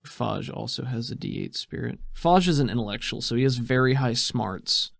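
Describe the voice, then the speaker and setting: A man's voice: mid-range voice, animated, normal speed, creaky. A man acting as game master, narrating scenes and giving casual rulings in a tabletop roleplaying game.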